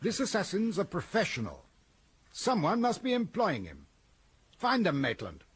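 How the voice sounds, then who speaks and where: An actor playing an imperious British police commissioner in a radio drama, barking clipped commands. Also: male voice, high voice, huge pitch swings, normal speed, husky, full character voice. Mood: blustering, exasperated.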